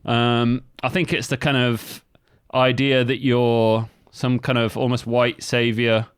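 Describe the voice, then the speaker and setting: A man's voice: medium pitch, flat delivery, takes it slowly, clear, resonant tone, no room sound, studio quality. Easygoing man explaining in casual chat. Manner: easygoing, explanatory, many fillers. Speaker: podcast co-host.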